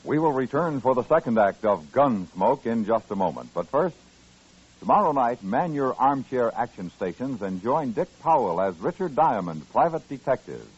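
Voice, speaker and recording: A man's voice: mid-range voice, sing-song, moderate pace, a little hiss or hum. An American man announcing on vintage radio in an emphatic, dramatic promotional style. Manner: emphatic, polished. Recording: muffled old-time radio recording.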